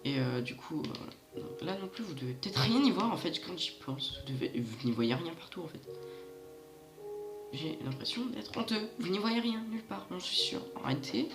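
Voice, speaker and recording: A man's voice: high-pitched, expressive, moderate pace. Stuffy-nosed guy rambling through his game, talking right at viewers, echoey room, music behind. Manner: rambling, chatty, talks straight to viewers. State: stuffy nose from hay fever. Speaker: gaming channel host. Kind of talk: casual let's-play commentary.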